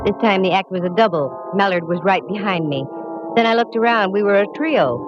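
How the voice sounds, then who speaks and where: An actress playing a wisecracking young female private detective who narrates her case in a 1950s radio drama, with a brisk, wry delivery. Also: medium pitch, over music, natural voice. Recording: dull, slightly noisy old radio broadcast.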